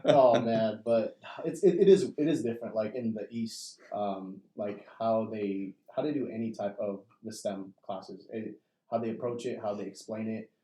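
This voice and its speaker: A young man from Indonesia, a native Indonesian speaker, talks casually as a podcast guest. His tone is mellow and warm, and he gets animated and slangy, acting out his own reactions. The room around him sounds echoey. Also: fairly low pitch, moderate pace. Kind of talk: casual chat.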